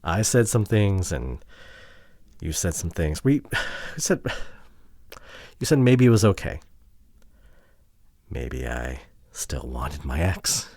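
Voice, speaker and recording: A man playing a regretful, wounded boyfriend pleading with his partner in an ASMR audio drama, halting and emotional, often dropping to hushed tones. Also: deep voice, big pitch swings, vocal fry, no room sound, studio quality, heavily acted. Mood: wounded, dejected.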